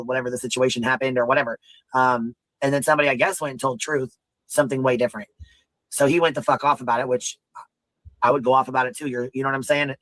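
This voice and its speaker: Fast-talking deep-voiced man, rambling. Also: fairly bright tone, no echo, poor audio. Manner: rambling, profane, repeats "you know what I mean". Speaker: livestream commentary host. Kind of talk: casual livestream commentary.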